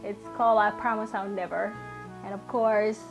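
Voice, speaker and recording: A woman's voice: medium pitch, steady tone, normal speed, dull audio, faint music behind. A young woman from South Sudan, a musician, telling her story in an earnest, chatty way with fillers and restarts. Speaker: guest musician. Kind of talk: casual personal storytelling.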